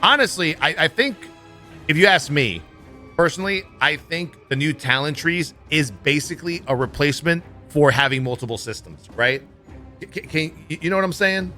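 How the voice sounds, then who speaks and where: Bright, forceful man commenting on a livestream. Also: a little high, lively intonation, normal speed, soft background music. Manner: blunt, swears freely. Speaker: livestream host. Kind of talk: casual livestream commentary on gaming news.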